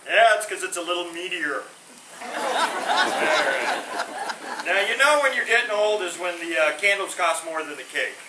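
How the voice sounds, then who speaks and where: Male stand-up comic, high voice, corny puns, recorded from across a roomy hall with background noise. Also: expressive, a little slow, long pauses, crowd noise. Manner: corny puns with a sheepish trailing delivery. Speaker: stand-up comedian. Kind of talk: stand-up one-liners. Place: veterans home hall. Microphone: no microphone, far from the recorder. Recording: roomy hall from a distance, noisy.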